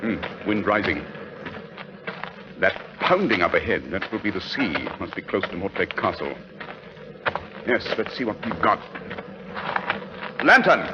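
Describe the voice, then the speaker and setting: Keen gentleman sleuth, precise and animated, 1940s radio drama with strong hiss. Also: lowish voice, measured pace, long pauses. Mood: intent, brisk. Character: famous detective, keen, inquisitive, commanding gentleman. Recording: noisy, muffled 1940s radio recording.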